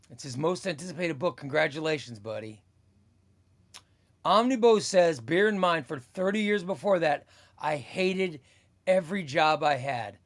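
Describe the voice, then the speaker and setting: A man in his fifties hosting a comics livestream, chatting casually as he reads viewer comments aloud, rambling and repeating his phrases. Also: on the high side. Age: 50s. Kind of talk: casual chat reading live comments.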